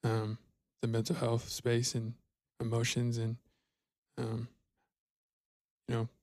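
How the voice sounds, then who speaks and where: Flat-toned young man, halting and earnest. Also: medium pitch, no room sound. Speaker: podcast co-host. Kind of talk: casual chat.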